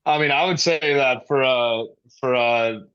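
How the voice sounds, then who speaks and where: A man's voice: mid-range voice, measured pace, clear, resonant tone. An American man in his forties, chatting casually on a podcast in an earnest, hesitant way, as a coach and former player. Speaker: high school baseball coach, former player. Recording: slightly dull, lo-fi call audio.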